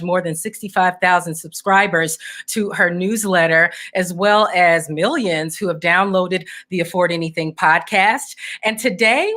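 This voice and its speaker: A woman hosting an interview, with a polished, steady presenter delivery. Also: mid-range voice. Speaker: host. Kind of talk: scripted then conversational interview hosting.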